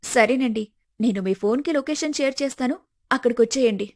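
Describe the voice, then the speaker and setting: A woman voicing a polite, grateful young woman in an animated moral story, respectful and earnest. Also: a little high, a little fast, natural voice.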